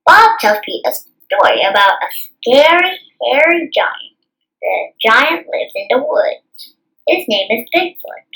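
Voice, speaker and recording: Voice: high voice, very animated, takes it slowly, sharp tone, low-quality recording. A child host reading a picture book aloud and adding rambling, earnest asides. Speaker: storytime channel host.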